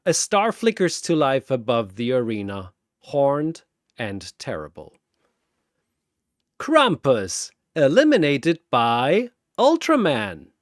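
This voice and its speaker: Guy doing dramatic battle story narration, takes his time, big swings in pitch, theatrical punchy phrases. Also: wildly swooping pitch, slow pace, dry recording, clean studio sound.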